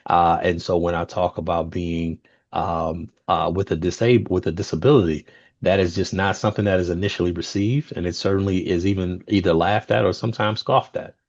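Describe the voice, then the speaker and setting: A man with a very low voice moderates a roundtable over a video call. He talks casually, with plenty of ums and false starts. The audio is dry and muffled. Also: a little fast, no room sound. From United States. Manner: relaxed, chatty, with many fillers and restarts. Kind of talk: panel discussion.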